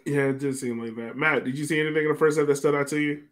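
A man's voice: fairly high pitch, fairly even intonation, rapid-fire. A man hosting a casual sports discussion over a video call. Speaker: podcast host. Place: indoor room on a video call. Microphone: video call microphone.

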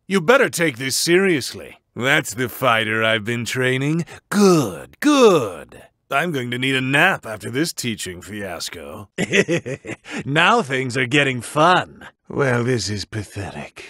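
An actor playing a haughty, playful cat-like god of destruction in a video game, with a theatrical, condescending drawl. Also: male voice, mid-range voice, sing-song, takes it slowly, pushed and bright, no echo, clean studio sound, exaggerated voice. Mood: annoyed, scornful. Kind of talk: video game fighting voice lines.

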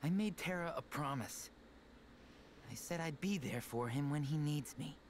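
An actor playing an earnest teenage boy hero in a video game, gentle, soft and sincere. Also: on the high side, expressive, normal speed, a little hiss or hum, natural voice.

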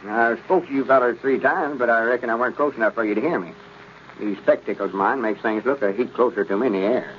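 An actor playing a know-it-all, easily exasperated old storekeeper with a rural Southern accent in a 1930s radio comedy. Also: male voice, medium pitch, quick pace, natural voice. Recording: dull, noisy old radio recording.

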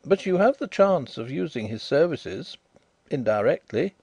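A man voicing a composed gentleman detective who speaks in a measured, detached way. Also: a little high, sing-song, slightly rough, no echo, lo-fi sound, voice pushed up, exaggerated voice.

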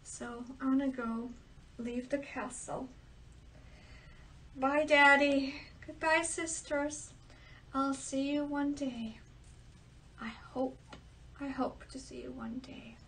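A woman voicing a gentle, humble youngest princess who speaks softly and sincerely, recorded from a distance. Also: sits high, steady tone, takes it slowly, long pauses, a little hiss or hum, heavily acted. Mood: sorrowful. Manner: soft, hushed, earnest. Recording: distant mic.

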